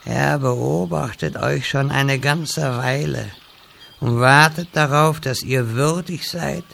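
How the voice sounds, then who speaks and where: A man's voice: mid-range voice, slow, vocal fry, heavily acted. A man playing a pompous, theatrical old wizard mentor in a parody fantasy audio drama. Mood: solemn. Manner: grandiose, solemn, then shouting calls.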